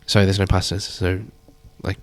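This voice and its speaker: A man in his thirties, a guest speaking thoughtfully in a measured, drawn-out way. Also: low voice, completely flat delivery, no echo. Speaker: guest artist. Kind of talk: reflective conversation.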